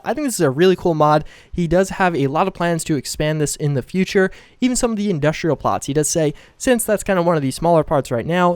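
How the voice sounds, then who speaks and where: A man's voice: fairly high pitch, a little mellow, no echo, studio quality. A young man, a bit sick and losing his voice, explaining a game mod in a rambling, casual way. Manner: rambling and explanatory, with fillers and restarts. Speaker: gaming video host.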